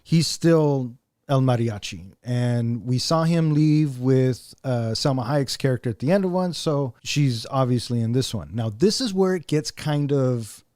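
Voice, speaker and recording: A man's voice: medium pitch, animated, measured pace, darkish tone, dry recording, studio quality. A man co-hosting a movie review podcast in casual chat, enthusiastic and joking, with playful swearing. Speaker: podcast co-host.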